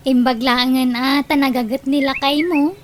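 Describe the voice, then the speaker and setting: A woman's voice: high voice, fairly even intonation, unhurried, a little hiss or hum. A woman playing a frank, teasing friend of a wife in a comedic radio drama.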